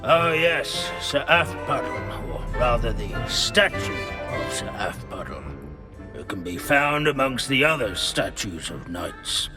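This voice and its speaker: A man playing an old ghostly history professor from a video game. He lectures slowly with a wandering, swooping pitch, pedantic and drily wistful, over prominent music. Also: close to an everyday voice. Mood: helpful, explaining. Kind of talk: video game character dialogue.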